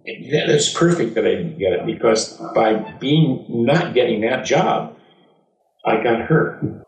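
An older American man speaks as a seasoned storyteller, in a medium-pitched voice. His delivery is emphatic and animated, with pauses for effect. The sound is reverberant, as if the microphone sits some way off in the room. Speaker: voice actor and former radio announcer. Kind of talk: autobiographical storytelling to an audience. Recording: echoey room, distant mic.

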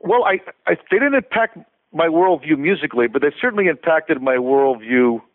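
A man's voice: on the high side, sing-song, a little fast, no echo. An older man from Connecticut talks through a tinny, phone-like line that sounds dry and close. His talk rambles along, full of restarts and small fillers, in a casual interview manner. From Connecticut, USA. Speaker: guest, conductor and composer.

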